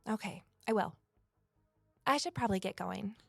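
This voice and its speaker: An actress playing an earnest woman amateur investigator in an audio drama, talking in a gentle, sincere, conversational way. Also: medium pitch, moderate pace, gravelly, breathy edge, no echo, soft background music. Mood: matter-of-fact, confiding. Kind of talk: audio drama dialogue and first-person narration.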